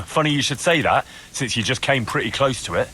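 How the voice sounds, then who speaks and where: Husky, scolding male soldier. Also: medium pitch, expressive, a little fast, sharp tone. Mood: scornful, scolding. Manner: reproachful, sardonic. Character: stern male medieval soldier interrogating a prisoner, scolding. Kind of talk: video game cutscene acting.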